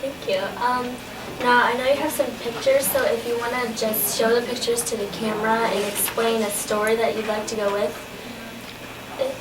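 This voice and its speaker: A woman interviewer with a somewhat high voice asks polite, brief questions and gives short prompts. She sounds far from the microphone in an echoey room. Loud noise runs behind her. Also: fairly even intonation, moderate pace. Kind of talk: oral history interview questions. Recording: distant mic in a roomy space with loud background noise.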